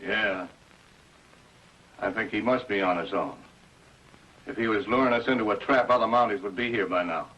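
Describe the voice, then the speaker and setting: A man playing a menacing, cocky outlaw henchman in a 1950s television western, with a husky voice. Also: on the low side, normal speed, long pauses, pushed and bright. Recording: dull vintage television soundtrack.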